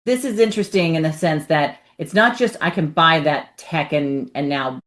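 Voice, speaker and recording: Woman podcast host, very low voice, animated casual chat, frequent "right?". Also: moderate pace. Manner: animated, keeps checking in with "right?".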